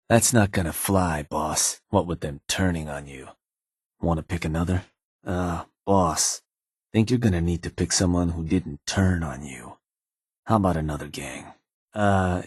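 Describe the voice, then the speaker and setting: An actor playing a shrewd, sardonic gang advisor in a video game, talking in a laconic, folksy, matter-of-fact way about ruthless business. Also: male voice, low-pitched, a little mellow, husky, dry recording, clean studio sound. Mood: mildly apologetic. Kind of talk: video game character dialogue.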